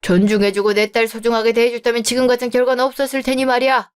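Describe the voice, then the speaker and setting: A woman's voice: on the high side, flat delivery, fast talker, clean tone, close to an everyday voice. A woman voicing a stern, wealthy middle-aged father who scolds coldly in a radio drama. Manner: cold, scolding.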